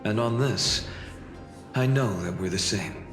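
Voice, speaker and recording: Casting: male actor as steadfast game soldier, earnest, lowish voice, big hall echo, clear music underneath. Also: unhurried, close to an everyday voice. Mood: resolute. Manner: earnest, resolute. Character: resolute young soldier hero, selfless and steadfast. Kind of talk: video game voice acting. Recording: echoey hall reverb, music behind.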